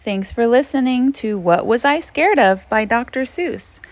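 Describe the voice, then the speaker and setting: Animated woman reading a children's story aloud. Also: a little high, dry recording. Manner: dramatic, drawn-out emphasis with big swoops for suspense. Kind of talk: expressive children's read-aloud.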